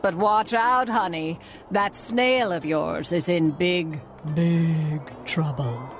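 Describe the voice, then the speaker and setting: A woman's voice: lowish voice, slow, over music. An actress voicing a sly, mystical female merchant in a cartoon game, honey-tongued and teasing, drawing out phrases. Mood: sly, ominous.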